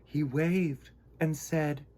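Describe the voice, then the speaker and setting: A man hosting a children's storytime, reading aloud in a theatrical, exclamatory way that moves between hushed and loud. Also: a little high, fairly even intonation, extremely slow, a little hiss or hum. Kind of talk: animated picture-book read-aloud for children.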